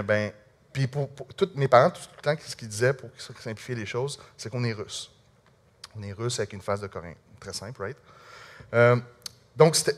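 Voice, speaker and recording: A man with a Québécois French accent, telling an audience his personal testimony in an earnest, halting, conversational way. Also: mid-range voice. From Quebec. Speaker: speaker sharing a personal testimony.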